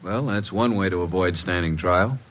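An actor playing a brisk, wry, wisecracking assistant to a detective in a 1950s radio drama. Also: male voice, deep voice, close to an everyday voice. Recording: very noisy, clicking old radio recording.

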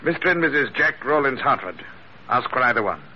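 A man's voice: mid-range voice, animated, a little fast, lightly acted. A man in an old radio drama playing a courteous, shady gun dealer with ornate, faintly menacing politeness. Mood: polite menace. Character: smooth-talking, shady male gun dealer, courteous and florid. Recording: muffled vintage radio recording, faint noise.

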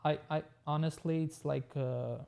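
Mellow, gravelly man with an Albanian accent. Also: a little high, steady tone, normal speed. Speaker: podcast guest, tech business owner. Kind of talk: casual conversational interview.

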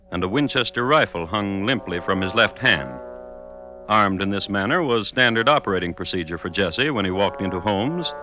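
A man with an American accent narrating an old-time radio drama in a deliberate, wry, mock-formal way. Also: on the low side, pushed and bright.

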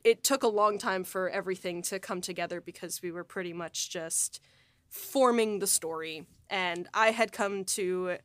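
A woman's voice: mid-range voice, fairly bright tone, clean tone, no room sound. Casual woman podcast host, close and dry. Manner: rambling, peppered with "like". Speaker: host and audio drama writer-producer. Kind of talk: casual behind-the-scenes podcast chat.

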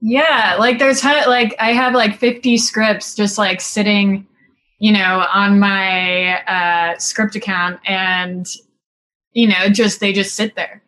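A woman's voice: mid-range voice, fairly even intonation, slow pace, fairly bright tone. Woman in her 30s from singapore, film director guest, rambly easygoing chat, lots of like and you know. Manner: chatty, full of "like" and "you know" fillers, laughs often. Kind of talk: casual interview chat.

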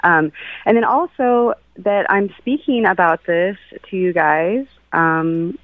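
A middle-aged woman speaking reflectively and personally, haltingly searching for her words over a call. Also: lowish voice. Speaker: guest giving feedback on an astrology reading. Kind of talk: personal, reflective casual talk. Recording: phone-like call with some echo.

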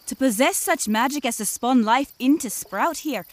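A woman's voice: high-pitched, expressive, normal speed. A woman playing a stately, helpful elder of magical water folk, speaking formally and grandly in a fantasy comedy audio drama. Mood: solemn, gracious. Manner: formal, grandly archaic diction.

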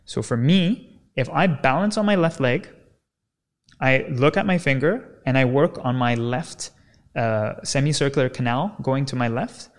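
A man speaking as a kinesiologist guest on a podcast, explaining in an energetic, teaching way and often checking in with "right?". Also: mid-range voice, moderate pace.

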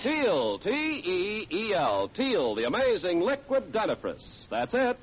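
A man's voice: high-pitched, wildly swooping pitch, slow pace, music in the background. A man with an American accent announcing in the scripted style of a 1940s radio advertisement and show introduction. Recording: dull vintage radio broadcast.

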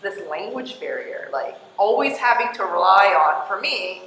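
A woman's voice: medium pitch, animated, moderate pace, fairly bright tone, a little hiss or hum. Chatty woman interviewer in an echoey hall. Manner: chatty, filler-heavy with like and you know. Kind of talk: casual interview chat. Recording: echoey hall with faint ambience.

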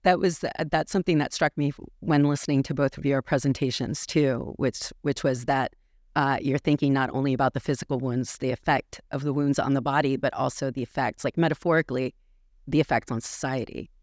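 A woman co-hosting a podcast, introducing the show and guests in a measured way. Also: rumbling low, fairly even intonation, a little mellow, no echo, clean studio sound. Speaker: podcast co-host.

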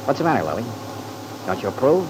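Man acting a breezy, animated radio soap charmer. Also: mid-range voice, quick pace, close to an everyday voice. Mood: teasing. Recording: noisy old radio recording.

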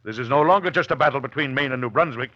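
An actor playing a dignified, middle-aged president and father in old-time radio drama, formal, measured and easily exasperated. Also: mid-range voice, highs cut off, lightly acted. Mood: stern, urgent. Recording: old radio recording, faint hiss.

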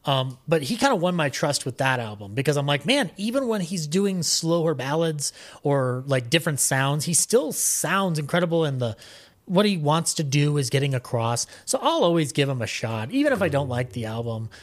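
A man's voice: fairly high pitch, big pitch swings, moderate pace. A man talking casually as a podcast guest, rambling along with a fan's enthusiasm. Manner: rambling, enthusiastic fan talk. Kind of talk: casual interview chat.

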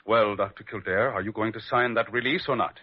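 Casting: man playing hard-headed strict dad, low raspy voice, unyielding, muffled vintage radio drama. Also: a little fast, close to an everyday voice. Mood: curt, cold. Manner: curt, unyielding, formal. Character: stubborn, hard-headed middle-aged father, strict and self-righteous. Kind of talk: old-time radio drama acting. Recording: dull vintage radio recording.

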